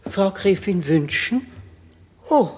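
Superstitious elderly butler: raspy, animated, pompously servile, quavering, stammering, old radio play delivery. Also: male voice, high-pitched, slow pace, heavily acted. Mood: alarmed, formal. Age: older. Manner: deferential, pompous, stammering when frightened. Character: fearful, formal, superstitious old male manservant. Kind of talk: 1970s German children's radio play acting.

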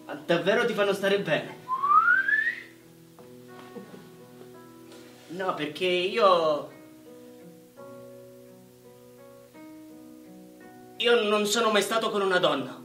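A man's voice: sits high, moderate pace, a bit bright. An actor playing a shy, innocent, guilt-ridden young infantryman in a war drama, speaking earnestly. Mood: shy wonder. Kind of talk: live stage or radio war drama acting. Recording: roomy, distant microphone, music behind.

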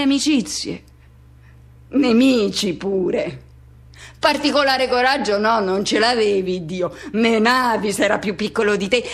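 A woman performing a poetic radio monologue as a man haunted by memories of teenage first love, speaking in halting, insistent fragments. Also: mid-range voice. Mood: wry, remembering. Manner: halting fragments, insistent repetitions, self-questioning.

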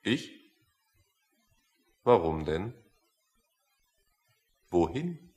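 An actor playing a blunt, dismissive skeptic in a satirical radio play, dry and questioning. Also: male voice, low-pitched, sing-song, extremely slow, warm tone, heavily acted. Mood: wary.

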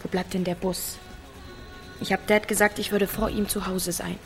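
A woman's voice: medium pitch, level tone, moderate pace, over music, lightly acted. A woman playing a wary, blunt but shyly affectionate teenage village girl, speaking tersely and dryly in a radio drama. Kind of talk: naturalistic radio drama dialogue.

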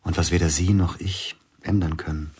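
Radio play: a bereaved pianist, deep voice, husky and hushed. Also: male voice, fairly even intonation, normal speed. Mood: grieving. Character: grieving widowed male concert pianist. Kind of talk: radio drama acting.

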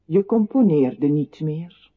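An actor playing a narrator who comments on a composer's life in a literary radio play. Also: male voice, fairly high pitch, animated, takes it slowly, breathy edge, full character voice. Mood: sombre. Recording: muffled old radio recording.

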